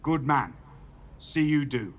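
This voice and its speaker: A man playing a stern older authority figure in a video game, speaking in a measured, formal, mentoring way. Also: mid-range voice, animated, slight background noise. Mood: encouraging. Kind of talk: scripted video game cutscene acting.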